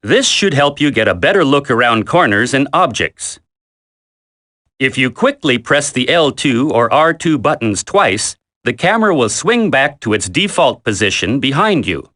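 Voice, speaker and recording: A confident military instructor from a video game tutorial, with a bright, forceful voice. His pitch moves in lively swings as he gives firm, emphatic, encouraging directions. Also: normal speed. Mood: instructive, confident.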